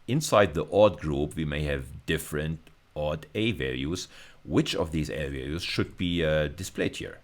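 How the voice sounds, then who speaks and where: A man's voice: very deep voice, creaky. A man lecturing at university level in an informal screencast style, explaining hesitantly and often repeating and rephrasing himself.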